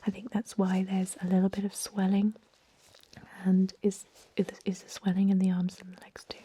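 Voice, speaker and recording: A woman voicing a kindly, chatty old vampire medic in a gentle, breathy whisper for an ASMR role-play. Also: mid-range voice, completely flat delivery, moderate pace, lightly acted. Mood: attentive, soothing. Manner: gentle, rambling, reassuring bedside talk. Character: kindly, chatty, aged female vampire medic, pureblood purist.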